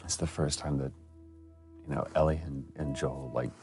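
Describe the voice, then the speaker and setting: A man giving casual, thoughtful commentary as an actor discussing his game role, with halting phrasing. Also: a bass voice, fairly even intonation, music in the background.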